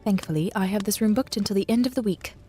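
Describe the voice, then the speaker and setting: A woman playing a sharp-tongued but caring young female bard in an audio drama, wry and matter-of-fact. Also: mid-range voice, fast talker, faint music behind, close to an everyday voice. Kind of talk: fan audio drama acting.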